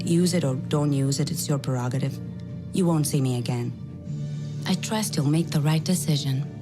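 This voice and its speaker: Low-voiced, self-assured female secret society recruiter, acted. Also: close to an everyday voice. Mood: cautioning. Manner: measured, dry, self-assured. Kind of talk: scripted video game cutscene acting.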